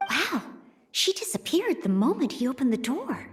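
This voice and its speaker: A woman's voice: sits high, big pitch swings, normal speed. A woman playing an earnest, helpful young maid in a video game, courteous and a little hesitant. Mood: polite, earnest. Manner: courteous, sweet, slightly hesitant. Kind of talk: video game character acting. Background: background music.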